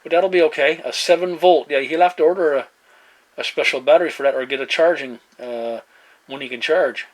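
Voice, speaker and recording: A man in casual two-way radio chat as a hobbyist, speaking in halting phrases with radio slang. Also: fairly high pitch, rough recording.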